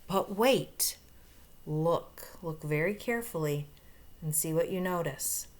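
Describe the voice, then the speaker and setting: A woman's voice: low voice, takes it slowly. A woman reading a picture book aloud to young children, expressively, with explanations and questions along the way. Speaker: storybook reader.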